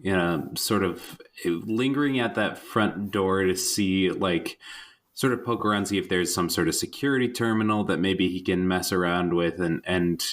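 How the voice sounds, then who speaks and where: A man with a very low voice talks through his ideas as a tabletop game player. He is casual and tentative, rambling as he improvises. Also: normal speed, a bit bright.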